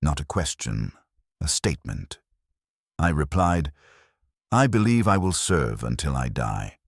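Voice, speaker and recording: A man's voice: a bass voice, very animated, unhurried, slightly rough, no room sound, studio quality, heavily acted. A man voicing a hollow, faithless warrior nun, telling her grim life as scripted first-person story narration in detached, solemn, clipped sentences. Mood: bleak, detached.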